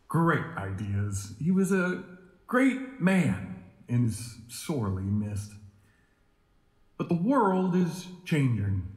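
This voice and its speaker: A man playing a charismatic organization president with veiled menace, giving a deliberate, grandiose podium speech in an audio drama. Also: mid-range voice, a little mellow. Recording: echoey hall with long reverb.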